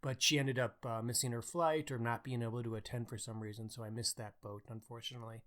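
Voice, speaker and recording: Mid-pitched man chatting as co-host. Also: steady tone, fast, fairly bright tone. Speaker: podcast co-host. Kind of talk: casual book chat.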